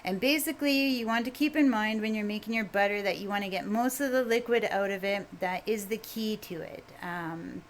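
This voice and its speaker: A woman with a quiet voice, casually explaining a recipe in a home kitchen video, rambling a bit with fillers. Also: mid-range voice, brisk, hardly any pauses, slight background noise. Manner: relaxed, rambling, with fillers and mild swearing. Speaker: home cook vlogger.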